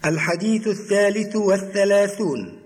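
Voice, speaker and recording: A man recites sacred text in a measured, devotional style. His voice sits high and moves along briskly. Long reverberation surrounds every phrase. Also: darkish tone. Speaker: hadith reciter. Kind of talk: measured religious recitation in Arabic. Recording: long reverberant hall echo.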